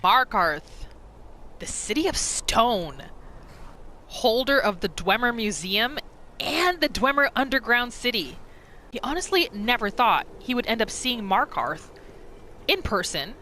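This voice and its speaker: A woman with a bright, forceful voice at a middle pitch, narrating a roleplay game as a casual story. Faint ambience sits behind her. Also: a little hiss or hum. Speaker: gamer narrating a roleplay series.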